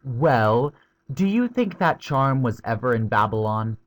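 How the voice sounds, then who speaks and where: A woman's voice: a bass voice, big pitch swings, measured pace, heavily acted. A woman playing a forthright, confident older schoolboy in a dramatized children's audiobook, with an abrupt, direct manner. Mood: abrupt curiosity.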